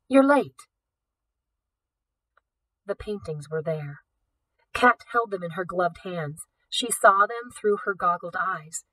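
A woman reading a novel aloud as an audiobook, in expressive scripted narration. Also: mid-range voice, distant mic, rough recording. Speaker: audiobook reader.

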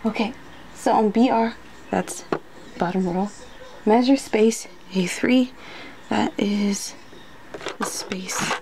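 A woman's voice: medium pitch, slow pace, long pauses, noisy background. A woman doing an ASMR roleplay as a flustered student, muttering hesitantly to herself, repeating words and often slipping into whispers. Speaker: ASMR roleplayer acting as an unprepared student taking a quiz.

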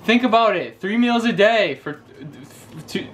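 A man's voice: high-pitched, animated, music in the background. A young man co-hosting a comedy sketch channel, bickering in an indignant, whiny way, filmed in a car and a bedroom on a camera mic. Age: young adult. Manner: whiny, indignant, quick to get worked up.

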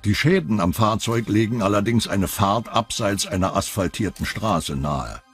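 A man with a fairly low voice narrates a true-crime documentary from a script. Faint music plays behind him. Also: lively intonation, normal speed, fairly bright tone, breathy edge. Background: background music.

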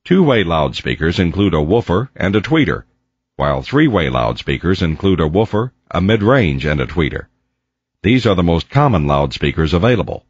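A man with a low, husky voice narrates instructional material. His delivery is measured and precise, with lively intonation that clearly marks each point of the scripted lesson. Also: no room sound, highs cut off. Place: close, dry voice-over recording.

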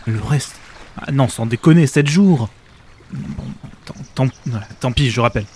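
A man's voice: mid-range voice, moderate pace, darkish tone, husky, lightly acted. An actor playing a sarcastic, overwhelmed everyman ark builder in a comedic audio drama, haggling in hesitant, colloquial speech.

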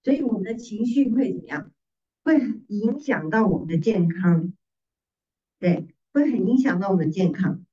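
Middle-aged woman, sermon teaching, soft-edged mellow tone, didactic, rhetorical questions, recorded from a distance. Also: medium pitch, animated, moderate pace. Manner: didactic, repeats phrases and asks rhetorical questions. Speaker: preacher, pastor's wife. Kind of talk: sermon teaching in Mandarin with slides. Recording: distant mic, slightly muffled sound.

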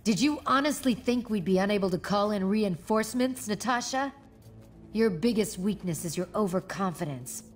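A woman's voice: medium pitch, lively intonation, normal speed, natural voice. Woman acting a confident superheroine over faint music. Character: Spider-Woman, confident, determined female superhero ally. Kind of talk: video game voice acting.

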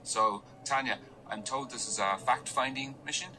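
A man's voice: mid-range voice, normal speed, music in the background, close to an everyday voice. An actor in a radio drama playing a sardonic male office analyst in his thirties, narrating and talking in a dry, wry way. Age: 30s.

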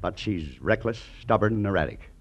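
Stern rich uncle type, lowish voice, very animated, brusque, muffled old radio. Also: male voice, normal speed, slightly rough. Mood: stern, worried. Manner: brusque, authoritative, precise. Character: stern, exasperated rich uncle and guardian, middle-aged or older. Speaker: supporting actor in a radio drama. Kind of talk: 1950s radio drama acting. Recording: dull old radio recording.

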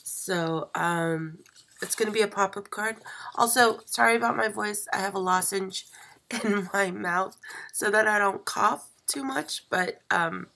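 A woman who is sick and losing her voice, hosting a live stream craft demo at a table and talking slowly with many fillers and pauses. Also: mid-range voice, creaky. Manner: slow, hesitant, many fillers, soft. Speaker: craft channel host. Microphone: overhead camera, hands only.

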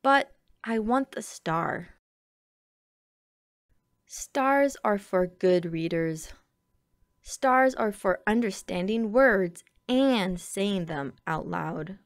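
A woman reading a children's picture book aloud with expressive, deliberate phrasing. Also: mid-range voice, no echo, clean studio sound. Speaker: storybook reader.

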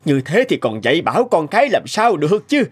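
Indignant scolder with swooping pitch. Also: male voice, sing-song, fairly quick, breathy edge. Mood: reproachful. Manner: indignant, reproachful. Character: angry, scolding grown man at an internet cafe. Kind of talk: cartoon dubbing.

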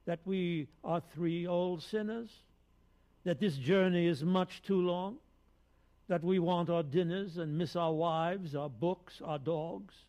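A man in his seventies playing a weary old wise man in a wry, deliberate dramatic verse reading. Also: on the high side, mellow. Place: concert stage in a radio performance hall. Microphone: close studio mic on boom.

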